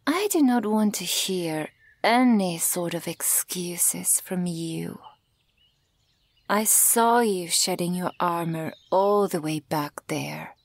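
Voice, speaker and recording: Stern, creaky-voiced elven archer woman, played by an actress. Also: fairly low pitch, animated, dry recording, heavily acted. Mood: cold, threatening. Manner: measured, dramatic, accusing. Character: centuries-old elven archer woman, stern, bitter toward humans. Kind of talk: ASMR fantasy roleplay audio acting.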